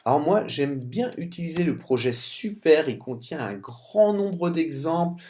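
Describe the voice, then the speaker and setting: A man's voice: fairly high pitch, lively intonation, measured pace, a little mellow. A man with a cold and a French accent, talking through a screen-recorded coding tutorial, often stumbling and restarting words. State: has a cold. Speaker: software tutorial presenter.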